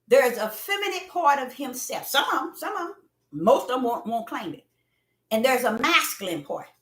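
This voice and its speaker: A woman preaches on a livestream, repeating her points and building to fervent cries. Her voice is bright and high, and it moves freely up and down. She speaks slowly, and the room around her echoes. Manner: preachy, repetitive, rising to fervent shouts. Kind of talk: livestream sermon.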